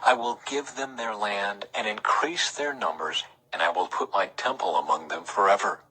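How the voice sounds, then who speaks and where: Bright, forceful man reading scripture solemnly. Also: fairly low pitch, moderate pace, rough recording. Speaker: scripture reader. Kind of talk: dramatic scripted Bible read-aloud.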